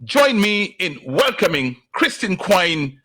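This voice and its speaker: A male host delivers a motivational opening at an unhurried, deliberate pace. His voice sits a little high and has a bright edge. He leans on key words for emphasis. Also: very animated, a little hiss or hum. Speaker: talk show host. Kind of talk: motivational monologue and interview.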